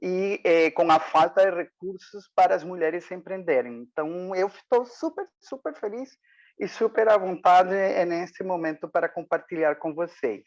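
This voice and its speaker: A woman from Mexico and Nicaragua with a Spanish accent, speaking as a guest on an online panel, warm and storytelling with many fillers. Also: fairly low pitch, moderate pace, highs cut off. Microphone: video call.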